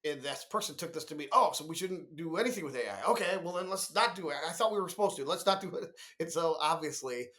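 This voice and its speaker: A man's voice: on the high side, animated, fast, few pauses, fairly bright tone. A man co-hosting a conversational business podcast, explaining emphatically and acting out imagined quotes as he goes. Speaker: podcast co-host discussing marketing.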